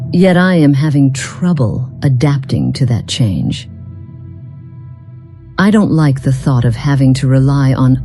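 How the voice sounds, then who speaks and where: A woman voicing a weary, once-proud companion in a fantasy game, speaking in a formal, measured and reflective way. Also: extremely low pitch, mellow. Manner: formal, measured, solemn wording. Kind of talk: scripted dialogue for a fantasy game mod.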